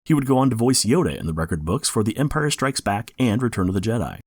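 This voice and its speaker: Male podcast host: informative read, lowish husky voice, highly varied pitch, dry sound. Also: animated, fast, darkish tone, no echo. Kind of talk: informative scripted podcast narration.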